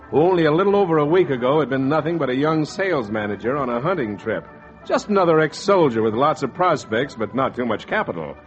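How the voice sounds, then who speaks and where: An actor playing a wry, polite young ex-soldier telling his story in 1940s radio acting style. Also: on the high side. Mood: reflective, wry. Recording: dull vintage 1940s radio recording.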